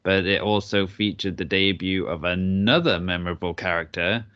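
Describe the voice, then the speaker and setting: A man co-hosting a podcast, relaxed and low-key as he asks and answers quiz questions. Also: moderate pace, smooth, highs cut off. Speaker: podcast co-host. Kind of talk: casual podcast chat.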